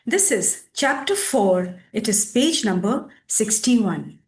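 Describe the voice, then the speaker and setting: Meditation teacher, female, high voice with lilting intonation, measured phrasing and pauses. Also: big pitch swings. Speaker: meditation teacher leading a guided session. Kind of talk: guided meditation with reading aloud and instructions.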